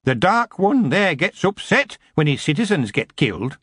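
Defiant goblin, cheeky and ungrammatical, played by a man, pitched up and springy. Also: sing-song, normal speed, fairly bright tone, slightly rough, no room sound, studio quality, exaggerated voice. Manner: broken grammar, cocky, mocking. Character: cheeky stocky male goblin, defiant and proud.

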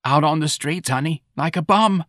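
A man's voice: on the high side, normal speed, fairly bright tone, dry recording, studio quality, pitched above the performer's own voice, natural voice. A man playing a plaintive, fretful aging mother in an audiobook, whining. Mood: grieving, aggrieved. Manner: whining, aggrieved. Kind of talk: audiobook character dialogue.

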